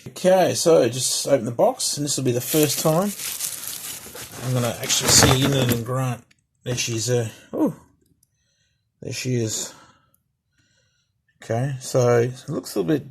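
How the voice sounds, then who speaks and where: A man's voice: mid-range voice, creaky, darkish tone, slightly rough. A middle-aged man chatting casually through a radio unboxing and repair, rambling with fillers and restarts. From Australia. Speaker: hobbyist vlogger.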